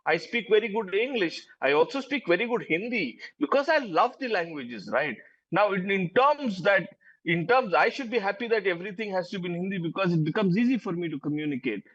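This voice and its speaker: A man's voice: high-pitched, expressive, fairly quick, fairly bright tone, muffled recording. A man whose first language is Urdu, arguing emphatically as a panelist in a heated TV debate and repeating words as he presses his point.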